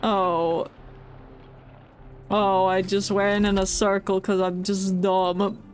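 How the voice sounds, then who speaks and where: Slow rambly streamer chatting casually over their game, goofy tangents, bit of music behind. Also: mid-range voice, flat delivery. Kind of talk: casual live game commentary.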